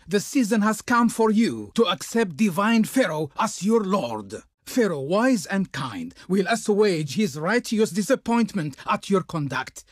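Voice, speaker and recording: An actor playing a haughty, pompous envoy of an ancient Pharaoh in a video game, speaking in ornate, declamatory style. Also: male voice, high voice, dry recording, studio quality, exaggerated voice. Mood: imperious. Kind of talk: theatrical video game voice acting.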